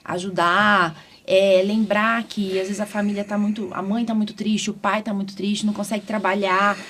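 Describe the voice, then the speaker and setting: A woman's voice: mid-range voice, flat delivery, moderate pace, fairly bright tone. A Brazilian woman doctor hosting a podcast, talking informally and often ending phrases with tag questions. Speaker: host and immunologist doctor. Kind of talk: conversational interview podcast. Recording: clearly noisy background.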